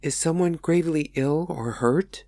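A woman reading aloud, voicing a gentle, compassionate male frontier pastor in a soft, calm, reassuring way. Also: sits low, unhurried, no room sound, studio quality. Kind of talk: reading her own novel aloud.

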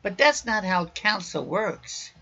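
Man, raspy and husky, loud raucous podcast preacher, muffled lo-fi recording. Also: high voice, big pitch swings, takes it slowly. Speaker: podcast host.

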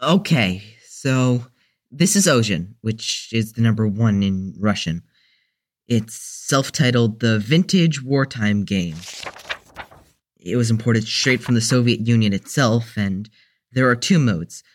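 A man playing a mild-mannered, unsure young newcomer with a halting delivery in an audio drama. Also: medium pitch, expressive. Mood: explaining.

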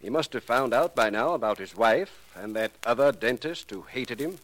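An actor playing a suave criminal professor in a 1940s radio drama, speaking formally with mocking courtesy and quiet menace. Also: male voice, mid-range voice, lively intonation, normal speed, no echo, lightly acted, rough recording. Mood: smug menace. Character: suave, self-assured criminal professor, an extortionist.

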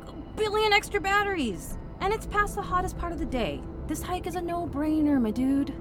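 A woman's voice: very high voice, expressive, a little fast. A woman playing a brash, eager, foul-mouthed young investigator in an audio drama, with a slangy, sarcastic, pushy delivery. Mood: persuasive. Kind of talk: full-cast audio drama acting. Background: music.

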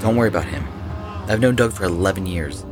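A man's voice: low voice, lively intonation, quick pace. An actor playing a cocky, intense young man who leads a rock band, in a horror audio drama. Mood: reassuring. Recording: voice over prominent background music.

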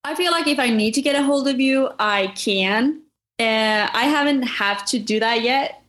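A young woman answers questions as a student guest. She is friendly and a little hesitant, with the odd pause to find a word. Her voice sits a touch high for a woman. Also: a bit bright. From Norway. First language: Norwegian. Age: young adult. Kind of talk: casual interview answers.

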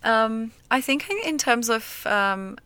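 A woman's voice: a little high, takes it slowly, pushed and bright, clear, resonant tone, no echo. A woman hosting a podcast in English, chatty and searching for words, laughing often. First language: not English. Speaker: podcast host. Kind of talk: casual conversation.

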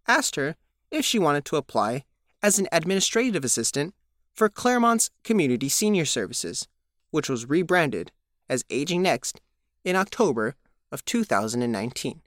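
A man's voice: a little high, very animated, normal speed, a bit bright, no echo, studio quality. A man narrating a scripted news feature as a reporter.

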